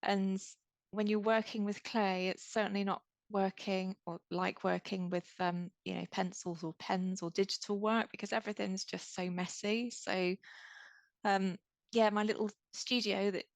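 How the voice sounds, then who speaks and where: Hesitant soft-spoken woman, dry sound. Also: mid-range voice, no echo. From English Midlands. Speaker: podcast guest, clay artist. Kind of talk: casual conversation. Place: small home studio room.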